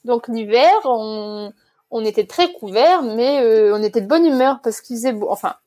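Woman, 20s, higher-pitched voice. Chatty interviewee explaining things in a relaxed, conversational way. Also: normal speed. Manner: chatty, explanatory, laughs easily. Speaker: interview guest. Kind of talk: casual personal interview.

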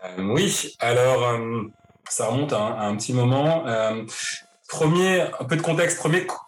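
A man's voice: medium pitch, normal speed. A man in his forties from Paris, telling his story as a podcast guest with frequent hesitations and restarts. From Paris, France. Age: 40s. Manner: hesitant, many fillers and restarts. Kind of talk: interview, personal story.

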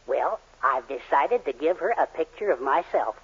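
An actor playing a vain, blustering middle-aged father with a husky voice in a 1940s radio comedy. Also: a little high, expressive, moderate pace, exaggerated voice. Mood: proud. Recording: muffled 1940s radio recording.

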